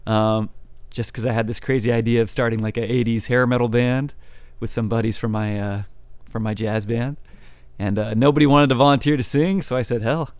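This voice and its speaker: A young man with a resonant baritone voice talks casually as a guest. He rambles in a relaxed way, pausing with fillers. The sound is dry and close, with no room around it. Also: clear, resonant tone, dry recording. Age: young adult. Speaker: musician guest. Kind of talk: casual interview chat.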